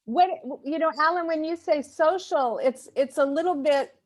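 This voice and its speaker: High-voiced woman chatting on a panel. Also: moderate pace, darkish tone. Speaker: panel guest. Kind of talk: casual chat with short agreeing interjections. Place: video call.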